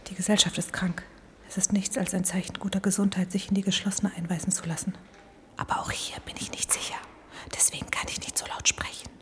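An actress in a radio play voicing a paranoid woman psychiatric patient, earnest and conspiratorial, in a secretive, hushed half-whisper. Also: mid-range voice, flat delivery, fast, natural voice. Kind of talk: modern radio play acting.

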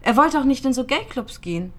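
A woman's voice: sits high, animated, brisk. An actress playing a grieving young woman, loyal confidante to a dead friend, in a screen drama. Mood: subdued, sad. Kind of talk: dramatic film dialogue.

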